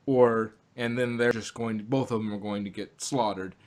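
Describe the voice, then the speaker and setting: A man's voice: on the low side, steady tone, moderate pace, darkish tone. A man co-hosting a casual review chat, explaining references with frequent restarts. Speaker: podcast co-host.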